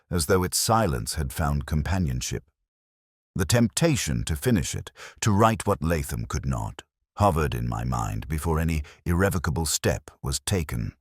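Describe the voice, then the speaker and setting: A man reading a scripted first-person detective story as narrator, in a measured, melancholy, literary manner. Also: a bass voice, slightly rough, no room sound, clean studio sound.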